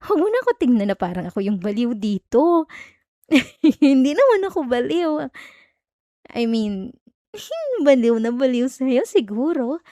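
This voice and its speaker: A woman acting out an obsessive, possessive stalker in an ASMR roleplay, coaxing and menacingly sweet, with halting stammers and giggly veiled threats. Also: high-pitched, very animated, dark tone, dry recording, studio quality. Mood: pleading, giddy.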